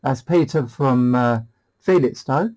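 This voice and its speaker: A man's voice: medium pitch, a little slow, noisy background. A British man hosting a CB radio demo, chatting casually in a hesitant, rambling way. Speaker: CB radio hobbyist and channel host.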